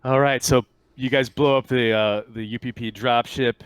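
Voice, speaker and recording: A man narrating a tabletop roleplaying game as game master in a halting, conversational way. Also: medium pitch, steady tone, smooth, no echo.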